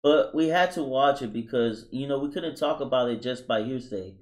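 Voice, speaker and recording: A man's voice: mid-range voice, moderate pace. Emphatic man co-hosting a podcast. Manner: emphatic, frequent interjections of agreement. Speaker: podcast co-host. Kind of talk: casual opinionated chat.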